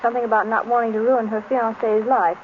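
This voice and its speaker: An actress playing a playful, inquisitive detective's wife in an old radio drama, bantering with light teasing. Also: female voice, fairly high pitch, level tone, fast, natural voice. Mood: concerned, inquisitive. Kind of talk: 1930s-40s radio drama acting. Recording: tinny old radio recording, faint noise.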